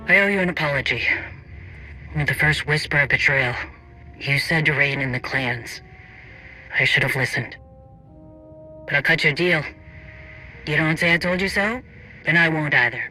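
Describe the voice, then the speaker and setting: Bright, forceful Mandalorian clan leader. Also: male voice, on the high side, moderate pace, pauses often, soft background music. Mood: rueful, frank. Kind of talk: dramatic video game cutscene acting.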